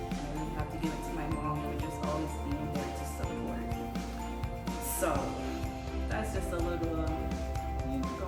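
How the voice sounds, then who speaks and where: A woman chats casually and personally to her viewers as a video host, in a halting, hesitant way with many pauses. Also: fairly low pitch. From Jamaica. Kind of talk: casual personal chat to camera. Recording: very echoey room, distant mic, loud music.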